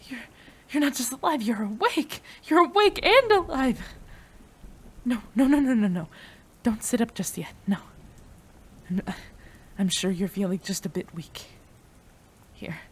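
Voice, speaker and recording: A woman playing a devoted, desperate female mad scientist in an intimate, emotional audio roleplay, addressing a beloved. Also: on the high side. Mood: astonished, elated, then caring, worried. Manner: emotional, breathy sighs, addresses a beloved tenderly.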